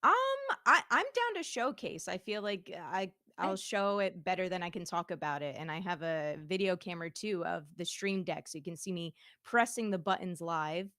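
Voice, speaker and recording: Giggly low-voiced woman streamer. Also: moderate pace, few pauses, pushed and bright, dry recording, studio quality. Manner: giggly, chatty, laughs often. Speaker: VTuber guest. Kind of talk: casual livestream demo chat. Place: home streaming setup. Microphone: streaming microphone.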